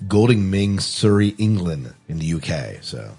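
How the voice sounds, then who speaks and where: Low-voiced man hosting a casual podcast. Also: sing-song, moderate pace, gravelly, warm tone, husky, faint music behind. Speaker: podcast host. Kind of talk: casual film discussion.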